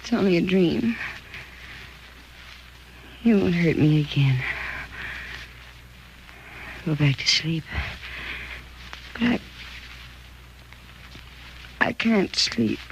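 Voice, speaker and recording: A woman's voice: deep voice, animated, extremely slow, long pauses, a little mellow, muffled recording, heavily acted. An American actress playing a frightened, haunted young woman in a 1940s radio thriller, with intense, melodramatic delivery. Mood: anguished, haunted. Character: frightened, haunted 28-year-old woman recalling her marriage. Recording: noisy vintage 1940s radio recording.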